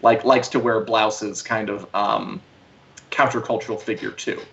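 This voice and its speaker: A man's voice: on the low side, fairly even intonation, unhurried, vocal fry, fairly bright tone. A man co-hosting a casual pop culture livestream, chatting in a wry, opinionated, colloquial way. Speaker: co-host of an entertainment news live show. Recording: dull, lo-fi livestream audio.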